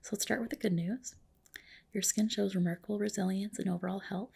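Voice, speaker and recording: Breathy whispering woman in an ASMR roleplay. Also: mid-range voice, steady tone, fairly quick. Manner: reassuring, gently explaining. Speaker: ASMR performer playing a medical spa skin specialist. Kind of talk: ASMR roleplay, personal attention.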